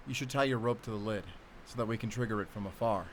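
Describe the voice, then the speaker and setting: A man's voice: on the low side, quick pace, lightly acted. Terse male actor as monster hunter, noisy backdrop. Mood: quiet, focused. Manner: terse, tense, giving orders. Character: grim, commanding male werewolf hunter. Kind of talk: cinematic audio drama acting. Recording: clear background noise.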